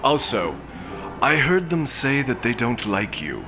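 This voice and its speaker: Pompous, lecherous space lord, theatrically swooping. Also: male voice, mid-range voice, very animated, unhurried, sharp tone, faint music behind, full character voice. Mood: lecherous, wooing. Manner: pompous, florid, blustering. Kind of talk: comic sci-fi audio drama acting.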